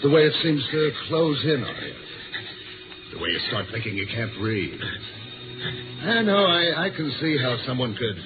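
Man acting a sly, needling radio-drama bully, animated delivery. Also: medium pitch, normal speed, pauses often, lightly acted. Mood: menacing taunts. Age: middle-aged. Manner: smooth feigned friendliness, taunting insinuation. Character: sly, needling older male office rival, falsely jovial bully. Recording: tinny old radio broadcast with music.

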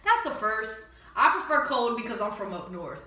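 A woman's voice: a little high, sing-song, moderate pace, pushed and bright, far from the mic. A woman from New Jersey interviewing a guest in a casual, slangy chat, laughing often and swearing freely. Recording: echoey room, voice from off-mic, lo-fi.

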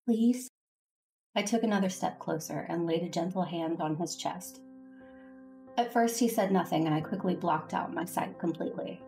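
An earnest Jedi Master played by a woman tells her own story in a space-fantasy audio drama. Her voice sits on the low side, devoted and sincere, with faint music behind it. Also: moderate pace, vocal fry, darkish tone. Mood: tender, hopeful. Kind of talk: first-person audio drama narration and dialogue.

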